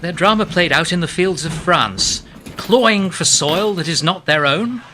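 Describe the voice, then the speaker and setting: An earnest 1920s English writer and ex-captain in a radio drama. His voice sits slightly high and is bright and crisp-edged, and he speaks lyrically and reflectively, choosing poetic words. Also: lively intonation, a little slow, a little hiss or hum, heavily acted. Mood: earnest, solemn. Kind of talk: radio play acting.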